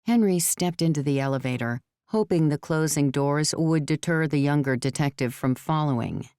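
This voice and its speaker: A woman's voice: sits low, normal speed, dark tone, smooth, no room sound, studio quality. A woman reading scripted novel narration as an audiobook narrator.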